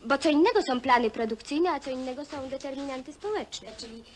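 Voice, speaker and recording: An earnest, pedantic intellectual woman in an old film drama. Her voice is high and she lectures in bookish phrases, with clear noise on the soundtrack. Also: animated, a little fast. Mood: earnest lecturing. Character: earnest, pedantic educated woman spouting sociological jargon. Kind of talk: naturalistic film acting. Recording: noisy 1970 film soundtrack.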